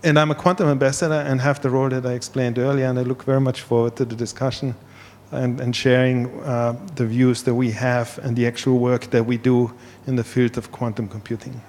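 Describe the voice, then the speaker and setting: A man's voice: mid-range voice, flat delivery, a little fast, darkish tone. Austrian man introducing himself in a hall. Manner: earnest, with small laughs and repeated words. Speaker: panelist. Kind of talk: self-introduction on a panel. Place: event hall. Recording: reverberant event hall.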